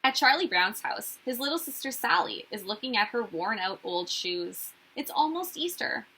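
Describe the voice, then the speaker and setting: A woman reading a picture book aloud to children in an expressive narrating style. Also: mid-range voice, normal speed, breathy edge, poor audio. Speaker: children's story reader.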